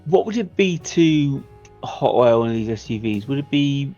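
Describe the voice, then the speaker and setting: A man's voice: medium pitch, expressive, moderate pace, mellow. A man talking casually as a player in a tabletop game, planning moves and putting questions to the game master. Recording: slightly dull audio with background music. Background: music.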